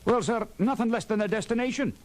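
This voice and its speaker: An actor playing an excitable, easily indignant starship engineer in a 1970s audio drama. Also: male voice, high voice, fast talker, a little hiss or hum, natural voice. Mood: wry. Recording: vintage 1970s record, loud music behind.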